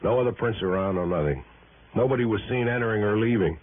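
An actor playing a matter-of-fact American police sergeant in an old radio detective drama, talking in a casual, halting cop style. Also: male voice, fairly low pitch, slightly rough. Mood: probing, suspicious. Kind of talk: 1950s-60s radio detective drama acting. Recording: muffled, noisy old radio broadcast.

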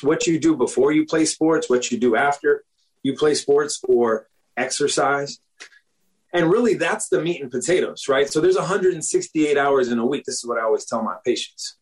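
A man in his forties, a doctor, talking casually in an interview, often checking in with "okay?" and "you know?" and clearing his throat now and then. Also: on the high side, rough recording. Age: 40s. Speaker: doctor, guest.

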